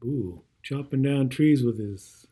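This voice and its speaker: A man's voice: medium pitch, slow pace, dark tone, slightly rough. A man reading a rhyming children's story aloud with theatrical, rhythmic phrasing and brief asides. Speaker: storybook reader.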